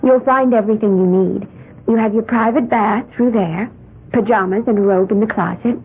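A woman's voice: mid-range voice, moderate pace, slightly rough, narrow, tinny audio. An actress playing a dreamy young woman with odd beliefs who babbles in a wide-eyed, sing-song way, in a 1970s radio drama. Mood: earnest, dreamy. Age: young adult. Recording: band-limited old radio broadcast.

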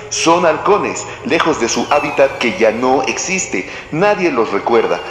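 A man's voice: medium pitch, noisy background, close to an everyday voice. Man acting an echoey, earnest street doomsayer. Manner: urgent, earnest, insistent. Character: earnest, alarmed male street doomsayer with megaphone. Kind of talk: scripted audio drama acting. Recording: echoey hall reverb, loud music behind.

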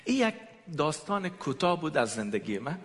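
A man's voice: a little high, very animated, very fast, fairly bright tone. A man from Afghanistan with an Afghan accent, a native Persian speaker, preaching and giving testimony in short passages for an interpreter. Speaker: guest preacher. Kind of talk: sermon with personal testimony, pausing for an interpreter. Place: large echoing church hall. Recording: long hall reverb.

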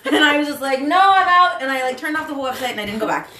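Loud, animated woman in an echoey room. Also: high voice, rapid-fire, a little hiss or hum. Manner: animated, gets loud when excited. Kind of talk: casual chat.

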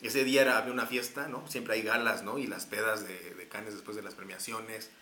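A man's voice: on the low side, fairly even intonation, a little fast, bright, forceful tone, roomy sound. A man with a Mexican accent, telling a long story casually with slang, fillers and restarts. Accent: Mexican Spanish. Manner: rambling, many fillers and restarts.

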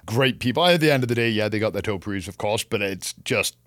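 A man's voice: fairly low pitch, fast, a bit bright, no room sound, clean studio sound. A man with a Canadian accent co-hosting a podcast, chatting casually and sharing opinions and anecdotes in a conversational way. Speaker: podcast co-host, gardener and landscaper.

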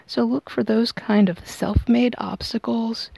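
A woman hosts a tarot reading in a gravelly, mellow voice at a middling pitch. She explains things in measured, unscripted phrases. The sound is close and dry, with very little room to it. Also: steady tone, no room sound. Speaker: tarot reader and podcast host.

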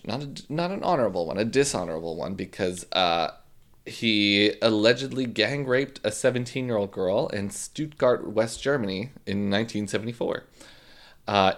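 A man co-hosting a casual true-crime podcast, joking and teasing, with a slight lisp. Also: on the low side. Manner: joking, teasing, swears freely. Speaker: podcast co-host.

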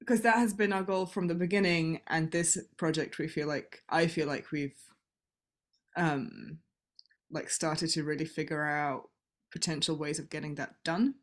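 English woman, warm co-host chatter. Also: low-pitched, steady tone. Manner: agreeable, quick to chime in. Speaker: podcast co-host, theatre maker. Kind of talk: casual chat.

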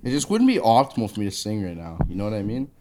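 A man's voice: on the low side, huge pitch swings, quick pace, warm tone, slight background noise. A man co-hosting a casual podcast, laid-back and jokey, swearing casually and sounding a bit congested. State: congested from a sore throat. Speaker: podcast co-host. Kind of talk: casual chat.

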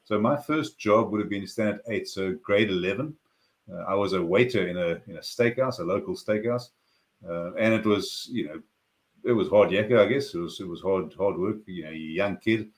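Deep-voiced South African man. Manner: measured, explanatory, sprinkled with "you know". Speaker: guest, business consultant. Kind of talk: podcast interview.

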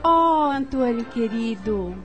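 A woman's voice: fairly high pitch, animated, slow, highs cut off. A woman acting an elegant, sociable society hostess aunt in a radio drama, with a Brazilian accent and an effusive, genteel manner. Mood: gushing, sociable. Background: music.

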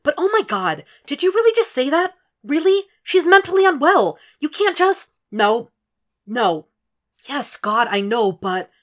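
A woman's voice: lively intonation, moderate pace, natural voice. A woman voicing a distraught young woman who argues on the phone and pleads for reassurance. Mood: pleading. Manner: pleading, high-strung, shouting in anger.

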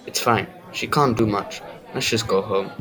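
A man's voice: on the low side, steady tone, moderate pace, pushed and bright, a faint crowd. A young male student playing a defensive, stubborn teenage basketball player in an audio drama.

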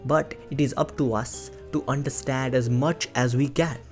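A man's voice: medium pitch, steady tone, moderate pace, faint music behind, lightly acted. A man putting on an exaggerated Indian accent, speaking in a grandiose, repetitive, mock-dramatic way. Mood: mock-grand. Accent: put-on Indian.

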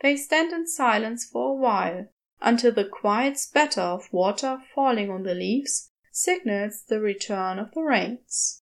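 Slow, fairly high-voiced woman narrating fiction. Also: animated. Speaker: audiobook narrator.

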